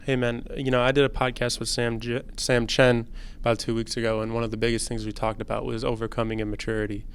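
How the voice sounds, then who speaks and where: A man in his twenties hosting a student podcast, talking in a relaxed, chatty way with plenty of slang and fillers. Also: medium pitch, fast, clean tone. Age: 20s. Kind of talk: casual conversation.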